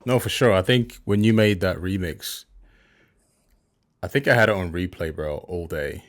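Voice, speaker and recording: A man with a low voice hosts the conversation in a loose, friendly way. He keeps things informal and often calls his guest bro. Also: normal speed. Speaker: host. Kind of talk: casual interview chat.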